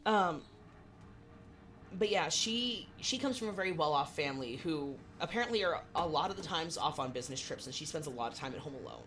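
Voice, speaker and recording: Lively, low-voiced woman in her twenties. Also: a little fast. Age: 20s. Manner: animated, swearing, self-deprecating. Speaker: podcast co-host. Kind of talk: casual chat.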